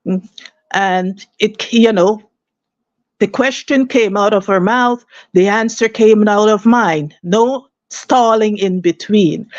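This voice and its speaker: A woman's voice: mid-range voice, no room sound, highs cut off. An older woman from Guyana, telling her story earnestly as a guest and often saying "you know" and "eh". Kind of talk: conversational storytelling.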